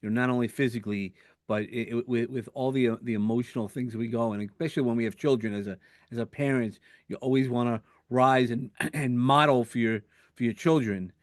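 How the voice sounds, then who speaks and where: A man's voice: medium pitch, steady tone, a little fast, no room sound, clean studio sound. A man hosting an interview podcast, talking in a rambling way with many restarts. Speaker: podcast host. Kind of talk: conversational interview.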